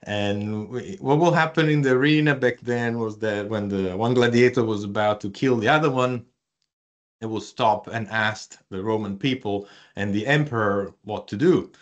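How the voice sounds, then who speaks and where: Italian-accented man, lowish voice, online workshop presenter, chatty and playful, lots of uhs and restarts. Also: moderate pace, muffled recording. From Italy. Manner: chatty, many fillers and restarts, playful with audience. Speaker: research communication presenter.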